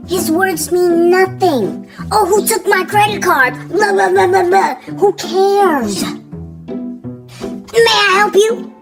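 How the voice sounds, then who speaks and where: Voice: very high-pitched, slow, music in the background, exaggerated voice. A performer playing a bratty, defiant kid in a comedic family skit, sassy and taunting. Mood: smug, taunting. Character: bratty, cocky, defiant kid talking back to parents.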